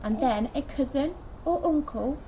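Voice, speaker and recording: A woman's voice: high voice, measured pace. A young woman reading a children's story aloud expressively, acting out the dialogue like a primary school teacher. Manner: animated, acts out dialogue. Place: outdoors in a garden by a wooden fence. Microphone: camera a short distance away. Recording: noisy outdoor recording with clicks.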